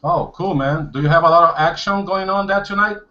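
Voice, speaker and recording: A man's voice: a little high, a little fast, bright, forceful tone. A Brazilian man hosting a casual language-practice video call, upbeat and laughing often, switching between Portuguese and English. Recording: dull lo-fi video call audio.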